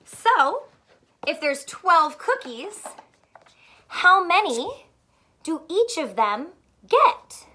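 A young woman teacher reading aloud to small children and asking them simple questions in an animated way. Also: high-pitched. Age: young adult. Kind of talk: children's read-aloud with a math lesson. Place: small furnished room indoors.

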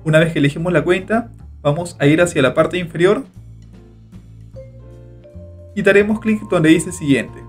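Man, tutorial voice-over, slightly high voice, faint music underneath. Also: a little fast. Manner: steady instructional narration, repeats click steps. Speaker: tutorial host. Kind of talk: screen-recorded step-by-step tutorial. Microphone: voice-over over screen recording.

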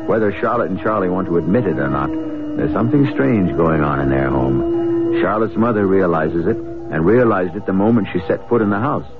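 A man narrates a vintage radio mystery in a deep, ominous voice. He speaks theatrically, straight to the listener. Loud music plays behind the narrow, old broadcast sound. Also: lively intonation, fairly quick. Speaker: radio drama host and narrator. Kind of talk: scripted, suspenseful 1970s radio narration.

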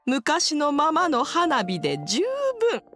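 Slow, gentle old lady. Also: high voice, big pitch swings, exaggerated voice. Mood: fond, nostalgic. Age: older. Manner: gentle, unhurried. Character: gentle, contented old wife and grandmotherly woman. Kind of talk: video game voice acting. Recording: faint music behind the voice.

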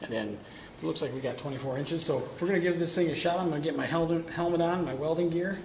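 Casual shop demonstrator, thirties, gravelly voice, lapel mic, noisy workshop background. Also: male voice, on the high side, quick pace. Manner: casual, talks while working with his hands. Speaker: welding equipment demonstrator. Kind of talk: hands-on product demonstration. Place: welding shop corner with curtain backdrop.

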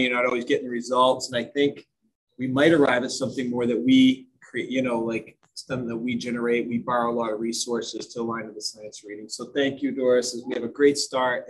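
A man's voice: medium pitch, slight background noise, rough recording. A man, a school administrator, speaking plainly at a board meeting with loose, filler-heavy phrasing. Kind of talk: meeting remarks.

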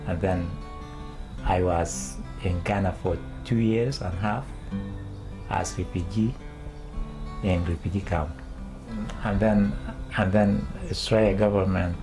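A man, speaking in a documentary interview, halting as he searches for words in English. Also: sits low, low-quality recording. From Sudan.

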